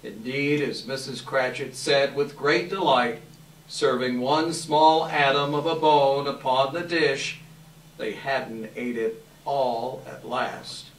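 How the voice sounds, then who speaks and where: A man giving an expressive live dramatic reading of a classic story as narrator, with measured phrasing. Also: medium pitch. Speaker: narrator, readers theater volunteer. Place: live event room. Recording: echoey room, distant microphone.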